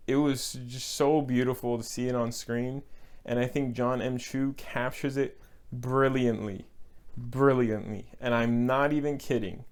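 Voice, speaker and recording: A man's voice: mid-range voice, smooth. A young man from Texas giving an earnest, emotional film review, repeating words for emphasis and laughing now and then. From Texas, United States. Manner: breaks into short laughs. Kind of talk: casual movie review.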